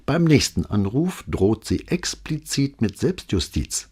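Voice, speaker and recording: A man's voice: mid-range voice, very animated, a little slow, darkish tone, husky, pitched above the performer's own voice. A man voicing a detached male police lieutenant who speaks casually.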